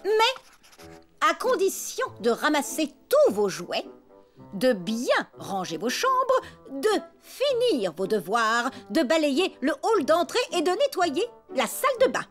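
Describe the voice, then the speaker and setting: A prim, fussy female elephant babysitter for a French cartoon. Her voice is high and swoops widely as she scolds and condescends, with light music behind her. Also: huge pitch swings, takes it slowly, a bit bright, heavily acted. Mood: bossy, fussy.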